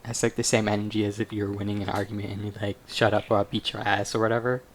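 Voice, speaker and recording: A woman's voice: a bass voice, little pitch movement, fast talker, a little hiss or hum. A woman giving casual, informal and reactive commentary while playing a game. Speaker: streamer.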